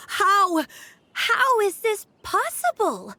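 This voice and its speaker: High slow-talking village girl for a game, earnest n unsure, really expressive. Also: slight background noise, exaggerated voice. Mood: amazed, relieved. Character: earnest, self-doubting young village girl. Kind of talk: animated video game voice acting. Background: background music.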